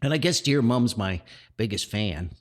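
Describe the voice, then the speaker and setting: Podcast host, male, middle-aged: low register, very lively intonation, loose nostalgic anecdotal delivery. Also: normal speed. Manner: rambling, chuckles often, nostalgic storytelling. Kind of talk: casual, anecdotal solo podcast talk.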